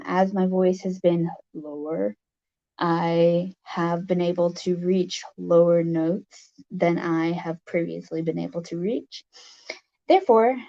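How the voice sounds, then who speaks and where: A livestream host with a raspy voice, chatting casually and haltingly with viewers, with many fillers. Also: medium pitch, mellow, muffled recording.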